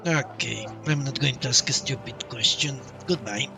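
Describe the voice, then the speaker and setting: A man's voice: medium pitch, normal speed, vocal fry, far from the mic. A man giving casual live commentary on a game he is playing, musing aloud and reading out dialogue choices. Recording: voice off-mic over loud game audio. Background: loud game music.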